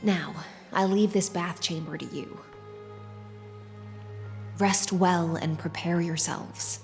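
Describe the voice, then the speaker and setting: An actress playing a serene, gracious and wistful fantasy woman in a video game, speaking in a poised, lyrical, unhurried way. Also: lowish voice, steady tone, soft background music. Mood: gracious, solemn.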